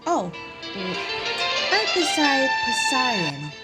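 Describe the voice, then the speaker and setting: A woman streamer talks casually over her playthrough at an unhurried pace. Her voice is fairly high, and loud game music plays behind it. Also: big pitch swings. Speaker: gaming streamer.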